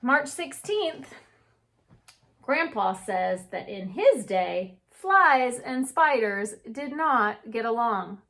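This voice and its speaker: A woman's voice: fairly high pitch, very animated, takes it slowly, pushed and bright. A woman teacher reading a children's storybook aloud with chatty asides, putting questions to young listeners and answering them. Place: indoor reading nook at home.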